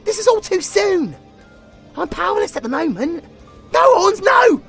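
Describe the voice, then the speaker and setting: A woman's voice: extremely high pitch, big pitch swings, a little slow, creaky, fairly bright tone, soft background music, heavily acted. A woman playing a hero's panicky sidekick in a horror audio drama, frantic, stammering and pleading.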